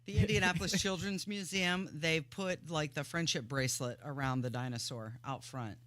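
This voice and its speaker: A woman co-hosting a radio show in casual banter, offering measured, conversational counterpoints. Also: sits low, steady tone, fairly bright tone. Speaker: radio co-host.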